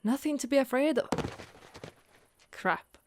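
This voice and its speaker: A woman's voice: sits high, lively intonation, unhurried. A woman voicing a caring, wry female Dullahan, an undead knight, in a reassuring, teasing, conversational ASMR monster roleplay. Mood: soothing, flustered. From Italy. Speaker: voice actress.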